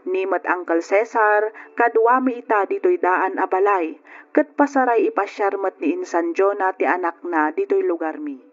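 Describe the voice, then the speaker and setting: A woman's voice: medium pitch, moderate pace, lightly acted. A woman as a reflective radio drama narrator, muffled. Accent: Ilocano. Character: reflective married woman recounting her family's hardship. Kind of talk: letter narration in old Ilocano radio drama. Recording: dull lo-fi radio drama recording. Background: music.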